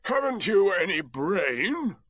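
A man's voice: high voice, big pitch swings, drawn-out words, a bit bright, breathy edge, no echo, voice pushed up, full character voice. A man voicing a bitter, gloomy donkey in a storybook, sardonic and dragging. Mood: scornful.

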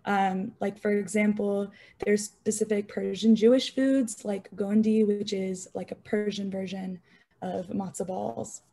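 A woman's voice: mid-range voice, fairly even intonation, measured pace, dark tone. A young woman speaking as a student panelist on a video call, casual and chatty with frequent fillers. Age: young adult. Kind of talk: online panel discussion.

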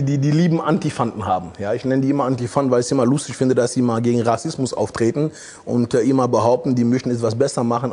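A man's voice: medium pitch, dark tone. A man in his forties from Congo, a guest in a studio interview, talking bluntly with many hesitations on a lapel mic. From Democratic Republic of the Congo. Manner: blunt, provocative, frequent hesitations. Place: studio set with red curtains.

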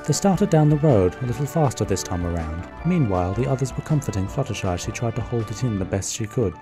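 A narrator reading a fan-made cartoon radio play in plain, even storytelling. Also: medium pitch, quick pace, music in the background.

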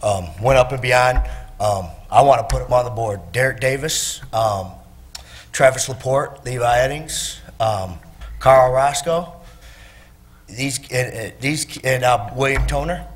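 A middle-aged man speaking at a podium microphone in a council chamber, talking plainly and haltingly. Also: mid-range voice. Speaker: city employee being honored.

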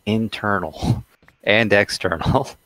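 Man, low voice, jokey, casual gaming chat, headset mic. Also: slow pace, a bit bright. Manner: teasing, jokey. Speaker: gamer.

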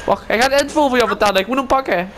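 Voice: mid-range voice, very fast, fairly bright tone. A gamer in casual roleplay, playing a helpful guide and patiently explaining things. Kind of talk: casual game roleplay chat. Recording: game and vehicle noise behind.

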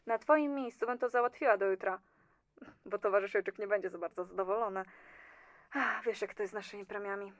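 A woman's voice: medium pitch, fairly quick, no echo, clean studio sound, close to an everyday voice. A woman playing a chatty, nonchalant and slightly condescending office clerk in an audio drama. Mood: smug, dismissive. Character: breezy, self-serving female office clerk in communist-era Poland.

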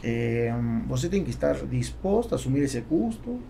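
A man in his forties from Argentina with an Argentine Spanish accent, a native Spanish speaker answering conversationally in a podcast interview with halting phrasing. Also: mid-range voice, very animated, creaky, dark tone, a little hiss or hum. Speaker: entrepreneur, podcast guest. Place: small foam-padded podcast studio. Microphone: studio microphone on boom arm.

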